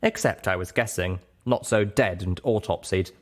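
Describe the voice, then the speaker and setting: A man with a fairly low voice narrates an audiobook. He reads scripted first-person story prose. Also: moderate pace.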